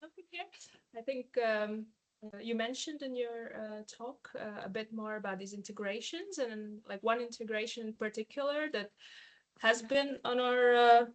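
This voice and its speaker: A woman's voice: on the high side, normal speed. A woman from Latvia, a data steward, asking a presenter a question with frequent hesitations. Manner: hesitant, many fillers. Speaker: audience member asking a question.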